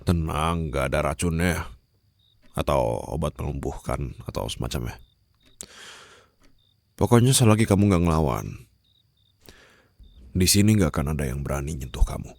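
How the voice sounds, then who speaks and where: A man's voice: lively intonation, moderate pace, long pauses, darkish tone, no echo, full character voice. A man playing a cold, menacing mafia boss in an ASMR roleplay, speaking formally and condescendingly to a captive, with mocking sweetness, close on the microphone. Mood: cool, commanding. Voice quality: very low, gravelly, husky. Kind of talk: ASMR roleplay acting, addressed to the listener. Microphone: close studio-style microphone.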